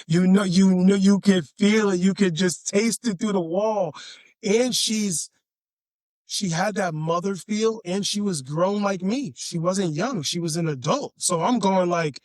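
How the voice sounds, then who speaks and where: A man from Chicago with a raspy voice, a podcast guest telling stories in a laid-back, playful way and often repeating his phrases. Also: high-pitched, measured pace, darkish tone, dry recording, clean studio sound. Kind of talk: casual storytelling chat.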